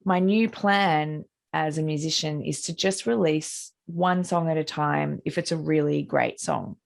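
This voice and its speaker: A middle-aged Australian woman musician with a husky, gravelly voice, answering interview questions in a loose, rambling way full of "like" and restarts, over a video call. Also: low voice, measured pace. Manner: rambling, many likes and restarts.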